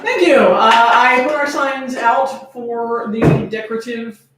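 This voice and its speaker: A woman's voice: on the high side, slow. A woman hosting a livestream in casual banter, playfully sarcastic and sweary. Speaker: livestream host. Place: echoey room, away from the mic. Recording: echoey room, distant mic, noisy.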